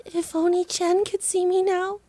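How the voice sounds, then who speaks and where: A woman's voice: extremely high pitch, little pitch movement, measured pace, warm tone, no room sound. A woman voicing a defiant, battle-worn girl with magic powers in an anime-style audio drama, dramatic and stubborn, a little breathless. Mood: wry determination.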